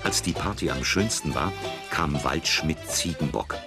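A man narrating a scripted children's story in a gravelly voice. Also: very deep voice, measured pace. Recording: music behind the voice. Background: loud music.

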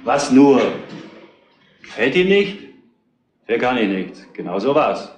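An actor playing a hot-tempered, defensive truck driver under suspicion in a retro crime radio play. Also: male voice, medium pitch, sing-song, unhurried, muffled recording, slight background noise. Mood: surly. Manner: defensive, surly, argumentative. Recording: roomy, echoing studio sound.

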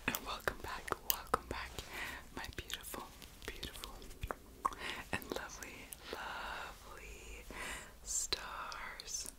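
Whispering young woman doing ASMR. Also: takes it slowly. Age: about 20. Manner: whispers almost throughout; excited, giggly, repeats words softly. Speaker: ASMR video creator and host. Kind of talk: ASMR whispering, casual mukbang chat.

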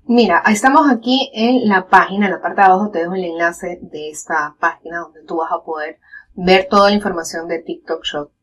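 A woman in her thirties giving a chatty step-by-step screen-share tutorial from home, explaining as she goes with many fillers. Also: fairly low pitch. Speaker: online business educator. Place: home room, webcam corner inset.

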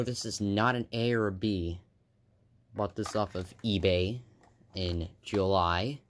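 Young male hobbyist, low-ish voice, offhand phone-camera narration with fillers. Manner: offhand, listing items with fillers and self-corrections. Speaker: hobbyist vlogger. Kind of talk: casual show-and-tell narration. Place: indoors, room with carpeted floor.

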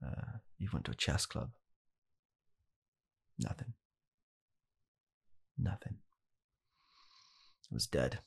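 A young man playing a blunt, callous father in an ASMR comedy roleplay, speaking softly and haltingly in a deadpan way. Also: very deep voice, creaky, breathy edge, exaggerated voice. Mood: deadpan, bleak. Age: young adult. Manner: soft-spoken, halting, deadpan. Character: blunt, callous father calmly blaming his child. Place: small home room, indoors.

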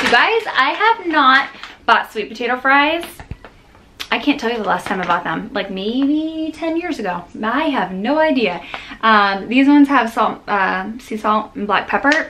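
A woman's voice: on the high side, bright, forceful tone, slight background noise. Chatty woman vlogging in her kitchen. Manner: chatty, rambling with many restarts and fillers. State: tired. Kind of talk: casual grocery haul chat. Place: home kitchen, indoors. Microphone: camera on a counter at close range.

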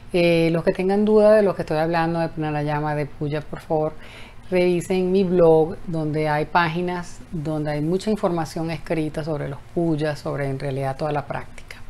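A woman's voice: deep voice, a little mellow, a little hiss or hum. A woman in her fifties giving a casual mantra lesson, talking into her phone camera in her apartment bedroom. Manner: talkative, frequent self-restarts, slow chanting of mantra. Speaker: mantra teacher. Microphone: phone camera at close range.

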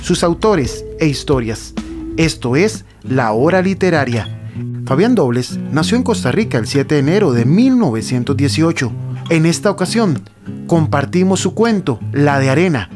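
A male programme announcer reads a scripted radio introduction. His voice is fairly high and very melodic, set over clear guitar music. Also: sing-song, normal speed.

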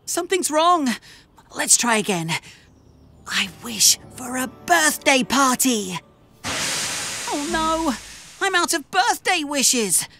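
A woman voicing a cheerful, eager, helpful young male steam engine in a children's cartoon, earnest, polite and expressive. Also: sits high, takes it slowly, pauses often, soft background music, exaggerated voice. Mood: disappointed. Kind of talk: animated children's cartoon acting.

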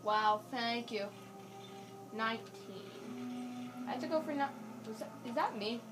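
Woman, fairly high, slow, casual commentary, music in room. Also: poor audio. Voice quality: chatty, joking asides to herself. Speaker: gamer. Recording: game music playing in the room. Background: game music.

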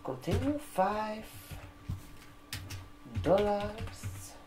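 A man in his twenties doing an ASMR role-play as a barber shop receptionist, talking to a customer in a halting, repetitive way. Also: sits high, lively intonation, music in the background. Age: 20s. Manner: slow, halting, repeating words while typing. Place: room with sofas, indoors. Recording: roomy echo, keyboard typing. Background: computer keyboard typing.

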